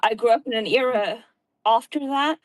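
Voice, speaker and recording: A woman's voice: a little high, normal speed, dry recording, low-quality recording. A woman with a South African accent in casual chat, earnestly explaining things at length. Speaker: guest.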